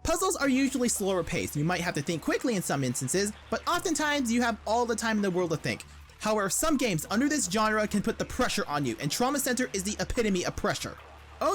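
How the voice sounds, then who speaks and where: A woman's voice: mid-range voice, slight background noise. A woman reads a scripted video essay about games in a playful, informal way. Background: background music.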